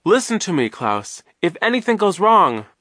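A frightened captive boy in a storybook, played by a man. He sounds high and forceful, insistently calling out warnings, recorded very dry. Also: very animated, moderate pace, dry recording, heavily acted. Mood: urgent. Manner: insistent, screaming. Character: terrified captive boy urgently shouting warnings to his friend.

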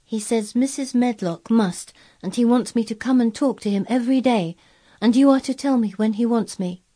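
Woman acting firm little girl, highish pitch, mellow, slightly husky, earnest, matter-of-fact storybook character. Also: moderate pace. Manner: firm, plain-spoken, earnest. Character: self-possessed, stubborn young girl, matter-of-fact.

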